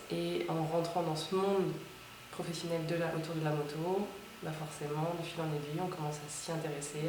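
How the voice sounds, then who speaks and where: A woman in her early thirties, a motorcyclist giving casual, measured interview answers. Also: on the low side, a little mellow, slight background noise. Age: 30s. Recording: roomy, distant mic.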